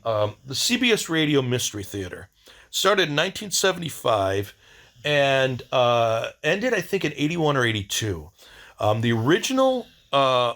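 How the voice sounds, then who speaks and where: Guy doing a rambly vlog diary, blunt and wry, medium pitch, keeps stalling for words. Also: a bit bright. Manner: halting, searching for words. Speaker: video diary host. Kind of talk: casual rambling monologue.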